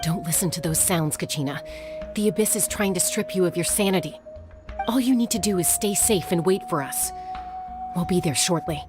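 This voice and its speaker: Woman as a low-voiced calm ally. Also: normal speed, music in the background, natural voice. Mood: steady, reassuring. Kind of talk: English video game voice acting.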